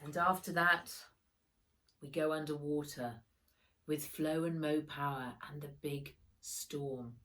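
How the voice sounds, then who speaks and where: A woman reading a children's storybook aloud as its author, with animated, expressive delivery and dramatic emphasis. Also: deep voice, slow, poor audio.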